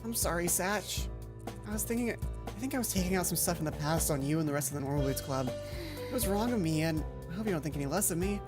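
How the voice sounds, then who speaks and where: Woman acting anxious schoolgirl, visual novel, earnest, hesitant, excitable, clear music in background. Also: fairly low pitch, fast, lightly acted. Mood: apologetic. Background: game music.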